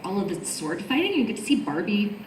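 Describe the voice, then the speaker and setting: A woman's voice: mid-range voice, sing-song, fast. A woman voice actor chatting casually as a panelist, unscripted. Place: convention panel room. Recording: echoey room, faint background noise.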